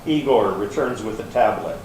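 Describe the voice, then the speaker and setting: A man's voice: fairly low pitch, lively intonation, moderate pace, lightly acted. A man narrating scripted stage directions for a readers theater. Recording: very echoey room, distant, faint noise.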